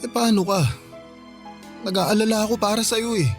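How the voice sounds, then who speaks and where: A man's voice: sits high, moderate pace, natural voice. A man plays a young Filipino boyfriend, anxious and earnest. He speaks hesitantly and pleadingly in a Filipino accent, in the manner of radio drama, over faint music. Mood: pleading, caring.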